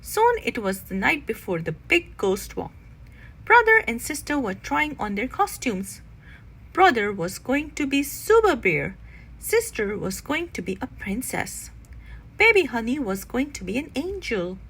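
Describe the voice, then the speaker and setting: A woman narrating a children's storybook expressively, with dramatic, deliberate phrasing. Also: a little high, big pitch swings, fairly bright tone, husky. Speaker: children's read-aloud narrator.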